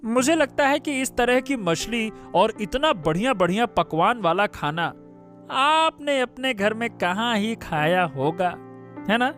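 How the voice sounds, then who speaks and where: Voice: mid-range voice, expressive, unhurried. A performer voicing a sarcastic young man of a rich family in a cartoon story, who speaks in a taunting way. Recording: soft background music.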